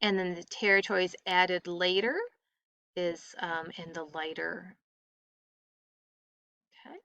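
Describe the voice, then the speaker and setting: A woman teacher reading a textbook aloud to a class with deliberate, emphatic phrasing. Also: fairly low pitch, vocal fry, bright, forceful tone, no room sound, lo-fi sound.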